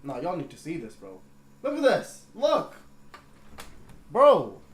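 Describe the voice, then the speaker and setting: A man hosting a casual livestream, rambling and easily excited. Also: on the high side, takes it slowly. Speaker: livestream host. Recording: echoey room with faint noise.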